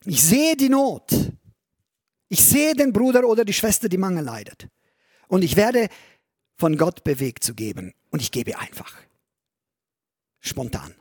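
A man with a high, light voice preaches an earnest sermon. He presses key phrases loudly, then lets his voice sink to a hush. The sound is close and dry, with almost no room around it. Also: very animated, measured pace, long pauses, breathy edge, dry recording. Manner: earnest preaching, swells loud on key phrases, drops to near whisper. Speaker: pastor.

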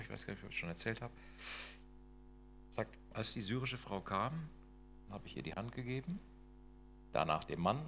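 A middle-aged man lectures from slides in a lowish voice, pressing his points with rhetorical questions. He tags his sentences with quick checks to the audience. A faint hum sits under the recording. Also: fairly bright tone. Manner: emphatic, rhetorical questions, tag questions like "ne?" and "ja?". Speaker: lecturer on intercultural work.

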